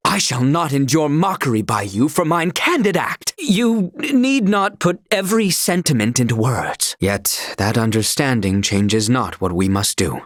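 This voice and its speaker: An actor playing an earnest, proud young royal attendant in a video game, speaking in a formal, stiffly courteous, archaic way. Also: male voice, mid-range voice, very animated, measured pace, hardly any pauses, dry recording, studio quality. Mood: stern, haughty. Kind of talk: scripted game dialogue, archaic formal English.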